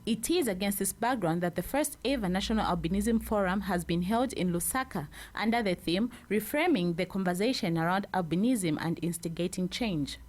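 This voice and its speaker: Woman, Zambian accent, medium pitch, news reporter, scripted report narration. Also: darkish tone.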